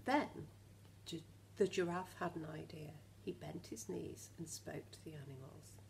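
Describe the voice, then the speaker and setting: A woman reading a children's story expressively as a teacher, pausing to talk to the children and ask them questions. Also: sits low, gravelly, a little hiss or hum, rough recording. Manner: animated, questioning, addresses children directly.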